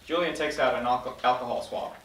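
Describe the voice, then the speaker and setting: A man reads stage directions as scripted narration. His voice is of average pitch. It sounds echoey and somewhat distant from the microphone. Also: fast talker, fairly bright tone, a little hiss or hum. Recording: echoey room, distant mic.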